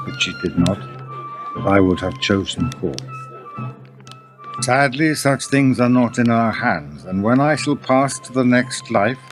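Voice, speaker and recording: A man's voice: medium pitch, lightly acted. An actor playing a proud, solemn medieval lord and father in a radio play, speaking in a grand, declamatory manner. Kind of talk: theatrical period radio drama in archaic English.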